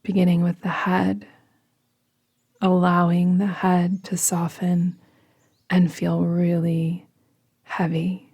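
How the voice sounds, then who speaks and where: A woman narrates a guided relaxation in a breathy, fairly low voice. Her delivery is gentle and even, with very little rise and fall. She is soothing and deliberate, often softening to a whisper. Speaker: yoga nidra guide.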